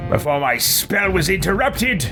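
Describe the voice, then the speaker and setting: A man's voice: high-pitched, sing-song, normal speed, music in the background. A man voicing an imperious, destructive fantasy mage in a pompous, theatrical manner for a game role-play. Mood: menacing glee.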